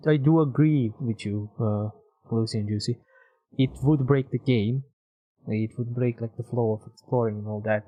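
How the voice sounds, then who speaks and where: Male game streamer, mid-pitched, loose rambling chat with viewers over faint game music. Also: poor audio. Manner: rambling, thinking aloud. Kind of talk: casual live gameplay commentary, chatting with viewers.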